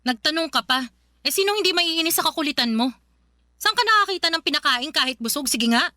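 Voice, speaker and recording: Piercingly high, bitter grieving young wife. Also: female voice, lively intonation, fairly quick, pushed and bright, no echo, studio quality. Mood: angry, bitter. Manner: emotional, snappish delivery. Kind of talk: radio drama acting.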